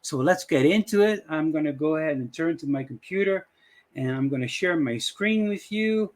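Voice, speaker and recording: A man's voice: fairly high pitch, animated, normal speed. A middle-aged man from the Dutch West Indies with a Dutch accent, hosting a casual tutorial and rambling with many fillers. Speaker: channel host. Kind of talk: casual screen-share tutorial intro.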